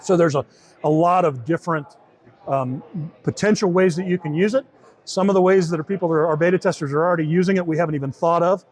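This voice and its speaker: A man with a somewhat high voice walks through a software tool in an informal demo. He explains it conversationally, often repeating and rewording his points as he goes. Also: fast. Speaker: software team member demonstrating a beta tool. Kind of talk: informal product demo in an interview.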